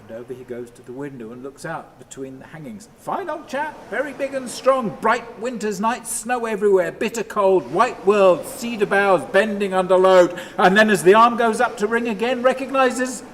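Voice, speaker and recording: A brooding, lonely man delivers a radio drama monologue in halting, repeated fragments. His voice sits a little high, and there is clear ambient noise behind him. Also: lively intonation, fairly bright tone. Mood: hushed, brooding, then rising, vivid. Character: troubled, lonely man obsessively telling himself stories. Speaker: actor in a radio play.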